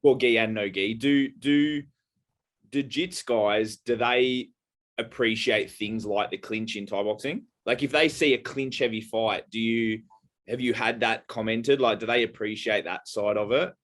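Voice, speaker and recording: A man co-hosting a casual podcast, telling stories in a rambling, halting way with many fillers. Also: on the low side, steady tone, a bit bright. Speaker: podcast co-host. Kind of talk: casual chat.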